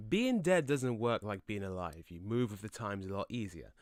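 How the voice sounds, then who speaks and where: A man playing a laid-back but quick-tempered, cocky ghost in an audio drama, with a brash, slangy, matey way of talking. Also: fairly low pitch, sing-song, no room sound, studio quality. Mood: relaxed, reflective. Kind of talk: conversational audio drama acting.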